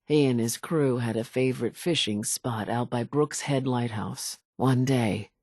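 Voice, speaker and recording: An actress playing a vengeful old island widow in a video game, telling grim tales in a raspy, folksy, theatrical way. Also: female voice, a bass voice, measured pace, darkish tone, dry recording, studio quality, heavily acted. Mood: bitter, grim. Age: older. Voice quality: raspy, gravelly. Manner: folksy, dramatic storytelling drawl. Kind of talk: video game character dialogue.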